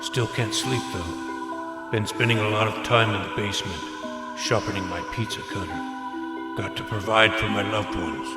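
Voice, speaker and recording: A man's voice: lowish voice, close to an everyday voice. An actor playing a troubled, exhausted seventies family man, reading out his diary in a weary, confessional way. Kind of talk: scripted audio drama diary narration. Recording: long reverb, music underneath. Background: loud music.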